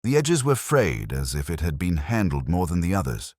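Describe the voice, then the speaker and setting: A man narrating a scripted first-person detective story in a measured, reflective, literary manner. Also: low voice, big pitch swings, a little mellow, no room sound, clean studio sound.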